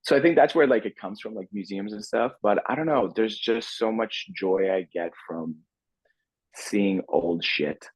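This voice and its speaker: A man's voice: on the low side, measured pace, no room sound, rough recording. A man chatting casually and informally as a film director in an interview. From Canada. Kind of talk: casual chat.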